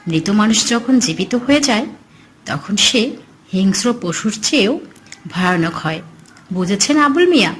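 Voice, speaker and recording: A secretive male grave robber from an animated horror story, voiced by a woman storyteller. He is firm and guarded, pitched in an ordinary female middle range, with faint ambient noise underneath. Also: a little slow, pauses often. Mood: teasing menace.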